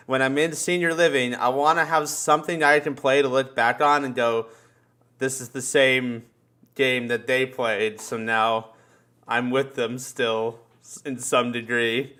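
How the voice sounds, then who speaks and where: A man's voice: medium pitch, level tone, measured pace, bright, forceful tone. A man chatting casually as a podcast host, joking around and swearing freely. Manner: profane, joking. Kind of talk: casual chat.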